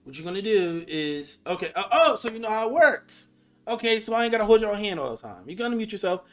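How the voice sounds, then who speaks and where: A man's voice: sits high, sing-song, fast, a bit bright. A man in his twenties hosting a live voice chat, talking in a casual, confrontational way with plenty of slang and swearing. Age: 20s. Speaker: host of an online live voice chat. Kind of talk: casual argumentative live conversation.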